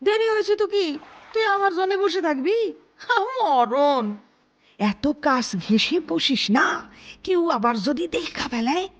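Audio drama: actress plays young village sweetheart, mock-scolding and coy, high, unhurried, very animated. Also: female voice, heavily acted. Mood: teasing scolding.

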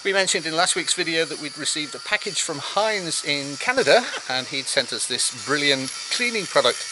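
A middle-aged man hosting a sailing vlog, talking in a relaxed, matter-of-fact way outdoors in a boatyard and narrating voice-overs. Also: fairly high pitch, normal speed, background noise. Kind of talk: casual chat and voice-over narration.

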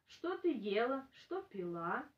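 A woman voicing a fond old grandfather from a folk tale, questioning his goat in a loud singsong chant. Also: on the high side, very animated, slow, dull audio. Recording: roomy, distant camera microphone.

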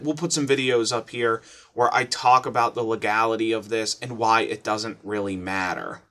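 An American man in his thirties talks straight to his viewers in a conversational, opinionated way. His voice sits at a middle pitch, and he explains things casually, like a host sharing practical advice at home. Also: a bit bright. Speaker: host of an emergency radio advice channel. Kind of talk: casual explanatory talk to camera. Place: furnished room at home.

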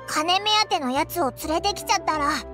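A woman voicing a small, childlike, cheeky fairy companion with a squeaky voice and brash boyish wording in a Japanese anime game. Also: fairly quick. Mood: eager. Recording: music behind the voice.

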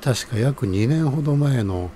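A man's voice: mid-range voice, lively intonation, unhurried, warm tone, a little hiss or hum, lightly acted. A man playing an easygoing, obliging ramen stall keeper in a scripted radio drama. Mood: genial.

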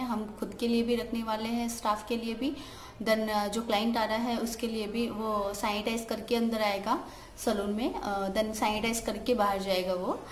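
A young woman, a salon owner, talks casually to a close phone camera, pausing often with "uh" fillers and mixing in English words. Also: mid-range voice. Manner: hesitant, many "uh" fillers, mixes English words. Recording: echoey room, faint background hiss.

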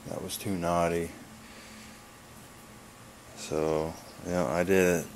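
A man giving a casual, laid-back vlog update in a halting, plain-spoken way, recorded on a handheld camera in a garage. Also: low-pitched, flat delivery, a little hiss or hum. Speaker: wood-splitting video blogger. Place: garage, open to outdoors. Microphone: handheld camera's built-in mic.

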